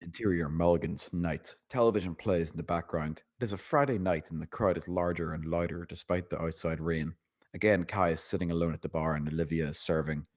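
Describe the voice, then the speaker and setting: A man's voice: deep voice, dry recording, clean studio sound. A man reading stage directions as scripted narration at a screenplay table read.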